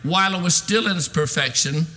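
A man in his sixties preaching a fervent sermon in an emphatic, declamatory way with drawn-out stressed phrases. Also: a little high, bright, forceful tone. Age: 60s. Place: large church sanctuary, at a pulpit. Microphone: podium microphone. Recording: reverberant hall sound.

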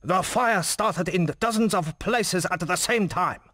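A man's voice: high-pitched, a little slow, a bit bright, dry recording, clean studio sound. A man playing a shaken, suspicious disaster survivor in a dramatic video game scene. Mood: grave, haunted.

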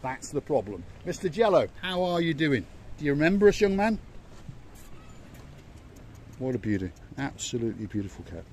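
A man's voice: mid-range voice, big pitch swings, normal speed, pauses often, darkish tone, a little hiss or hum. A man in his fifties presenting a walking travel vlog to camera, enthusiastic and chatty, filmed on a phone at arm's length outdoors at a seaside harbour. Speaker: vlogger. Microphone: phone held at arm's length.

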